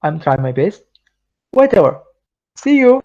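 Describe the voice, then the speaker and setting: Turkish-accented man with a high voice. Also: big pitch swings, vocal fry, mellow. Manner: halting non-native English with many restarts and fillers. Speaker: webinar speaker. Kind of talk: webinar Q&A answer. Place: London. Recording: dull lo-fi call audio.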